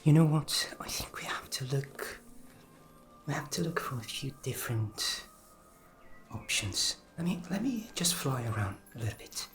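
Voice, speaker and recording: A performer voicing a flustered, kindly fairy magic specialist in a fantasy ASMR roleplay, speaking softly and hesitantly, often dropping into a whisper. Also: mid-range voice, very animated, faint music behind. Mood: pensive, hesitant. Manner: hesitant, halting, repeats words, often whispering.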